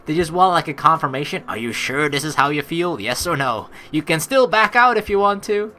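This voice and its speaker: A woman doing gameplay commentary, reading story text aloud expressively and reacting to it in an animated way. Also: sits low, a little fast. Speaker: let's play host reading a visual novel aloud. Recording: game music behind the voice. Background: game music.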